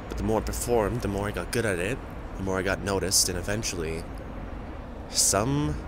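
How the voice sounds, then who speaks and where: A man playing a grieving famous musician in an audio drama, guilt-ridden, defensive and bitter, speaking in a halting confessional way. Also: on the low side, noisy background, close to an everyday voice. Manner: halting, confessional, sighing pauses. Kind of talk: dramatic audio roleplay monologue.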